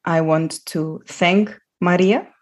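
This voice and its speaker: A woman hosting an interview podcast, speaking in an earnest, deliberate way with a husky voice. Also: on the low side, fairly even intonation. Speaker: podcast host.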